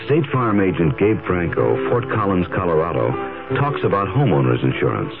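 A man announces a scripted radio commercial. His deep voice moves expressively. The sound is muffled, with loud music behind it. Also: very animated, moderate pace. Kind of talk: scripted radio advertisement read.

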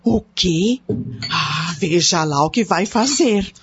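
A woman's voice: fairly low pitch, animated, takes it slowly, dull audio, soft background music. A woman playing a protective, fretful wife and mother in a radio drama. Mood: worried.

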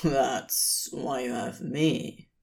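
A woman voicing a laid-back, sly yet bashful man with a raspy, sleep-rough voice and casual, slangy phrasing. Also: a bass voice, fairly even intonation, drawn-out words, a little mellow, voice pushed down, full character voice. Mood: sleepy, fond.

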